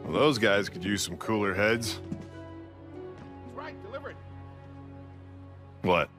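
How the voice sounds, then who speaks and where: A man's voice: lowish voice, moderate pace, close to an everyday voice. A man playing a wry, easygoing adventurer uncle and pilot in a video game cutscene. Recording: music behind the voice.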